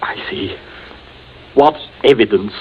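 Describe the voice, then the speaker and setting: An actor playing a nervous, cornered gentleman in a 1940s radio mystery, stammering, hesitant and pleading. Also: lowish voice, slow pace, husky. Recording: dull, noisy vintage 1940s radio recording.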